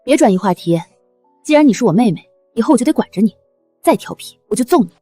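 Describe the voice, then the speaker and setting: An actress playing a calm, caring young elder sister from a village, speaking with gentle firmness. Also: female voice, a little high, quick pace, slightly rough, soft background music. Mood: gently scolding. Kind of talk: scripted drama acting.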